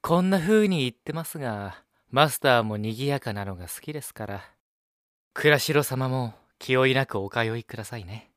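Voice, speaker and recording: A man's voice: medium pitch, lively intonation, moderate pace, dry recording, lightly acted. A male actor portrays a polite young café assistant in an audio drama. His mid-range voice stays composed, with formal and courteous phrasing. The recording is dry and close. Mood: gracious. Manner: courteous formal speech, gently unruffled. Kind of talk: Japanese audio drama acting.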